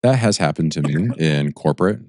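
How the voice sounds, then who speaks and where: A man with an extremely deep voice co-hosts a podcast. He reflects in a measured way, and the recording is dry and close. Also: expressive, a little mellow, dry recording. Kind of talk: podcast conversation.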